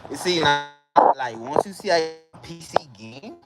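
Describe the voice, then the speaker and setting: A young man from the Bahamas, vlogging in a casual, animated way and often checking in with the viewer. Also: fairly high pitch, a little slow, creaky, loud music behind the voice. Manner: animated, repeats "you understand?" to the viewer. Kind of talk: casual direct-to-camera chat. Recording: noisy recording with vehicle sounds behind.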